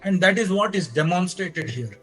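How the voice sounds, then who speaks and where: A man with an Indian accent giving a technical lecture as a presenter, explaining deliberately step by step in short phrases. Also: high voice, expressive, a bit bright, breathy edge, soft background music, low-quality recording. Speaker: lecturer presenting own engineering research.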